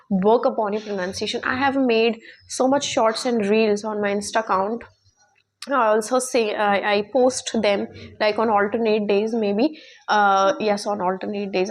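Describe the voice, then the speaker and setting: A woman's voice: fairly high pitch, darkish tone, slight background noise. A woman with an Indian accent giving an informal English lesson, often repeating herself and checking in with "okay" and "right". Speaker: online English teacher and YouTuber.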